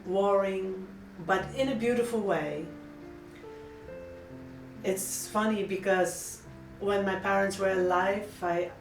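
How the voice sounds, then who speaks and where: A middle-aged woman with a Polish accent, speaking in a gentle, earnest and reflective way in a heartfelt personal vlog. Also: medium pitch, roomy sound, recorded from a distance, rough recording. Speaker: vlogger.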